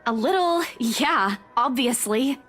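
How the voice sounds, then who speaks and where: A woman's voice: on the high side, lively intonation, takes it slowly, fairly bright tone, faint music behind, heavily acted. An actress playing a shaken, apologetic young woman in a voiced game, speaking hesitantly. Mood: reluctant. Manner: hesitant, self-blaming. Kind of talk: visual novel game voice acting.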